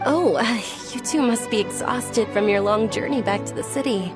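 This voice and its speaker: A woman's voice: on the high side, moderate pace, close to an everyday voice. A woman playing a polite, earnest, well-bred young lady in a video game, slightly hesitant. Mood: polite, apologetic. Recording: music behind the voice.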